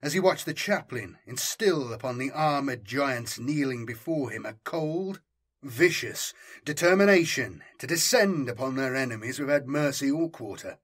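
A man narrating a scripted story as an audiobook, in a measured, solemn and dramatic way. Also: medium pitch, lively intonation, a bit bright, slightly rough.

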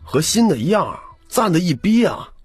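A man's voice: sits high, very animated, moderate pace, slightly rough, no room sound, soft background music, pitched above the performer's own voice, full character voice. A man voicing a cheeky young office slacker with brash, wheedling sales patter in an audiobook. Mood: brash, pushy.

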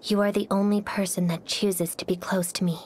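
A woman voicing a cold, reserved, melancholy young swordswoman for a video game, speaking softly and breathily in a quiet, detached, formal manner. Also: mid-range voice, little pitch movement, brisk, slight background noise, close to an everyday voice. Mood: shyly tender. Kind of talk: scripted game character voice lines.

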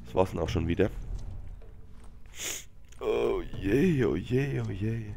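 A man's voice: fairly low pitch, lively intonation, slow, vocal fry, mellow. A young man sick with a cold, giving casual, slangy gaming commentary with muttering and swearing into a headset mic. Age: young adult. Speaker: let's player hosting a gameplay series. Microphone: headset microphone. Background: game music and gunfire.

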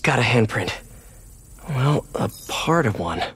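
An actor playing a young wisecracking superhero in a video game, earnest and curious, with a quippy, conversational delivery. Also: male voice, medium pitch, big pitch swings, slow pace, a bit bright, breathy edge, full character voice. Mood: musing. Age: young adult. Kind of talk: naturalistic video game acting.

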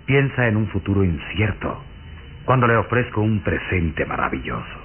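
An actor playing a pale, suave, scheming count in an old radio serial, courtly and insinuating in manner. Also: male voice, fairly low pitch, very animated, moderate pace. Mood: seductive, intense. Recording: muffled, hissy old radio serial recording.

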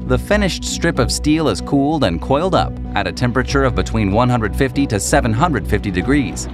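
A man reading scripted documentary narration in a measured, explanatory manner. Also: medium pitch, expressive, music in the background.

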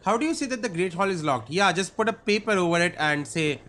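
A man's voice: high voice, expressive, fast, a bit bright, a little hiss or hum. A young man with a sore throat, casually commenting on a game he is playing, with wry jokes, into a studio mic in a small room. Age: young adult. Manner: chatty, joking, wry asides. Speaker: gameplay streamer. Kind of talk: casual let's-play commentary. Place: small cluttered room indoors. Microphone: studio mic on arm, headphones. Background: game music.

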